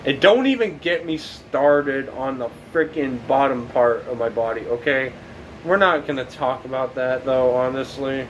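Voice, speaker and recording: Slow, rambling teenage guy with faint hum. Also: mid-range voice, muffled recording. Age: late teens. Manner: rambling, halting, many fillers and restarts. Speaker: documentary subject. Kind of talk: casual reflective monologue.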